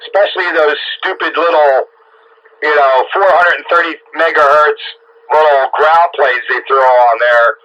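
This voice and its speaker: A man's voice: on the high side, fairly even intonation, moderate pace, muffled recording. A man chatting casually on two-way radio in an opinionated, dismissive and blunt manner. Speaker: amateur radio operator chatting on air. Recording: noisy band-limited CB radio transmission.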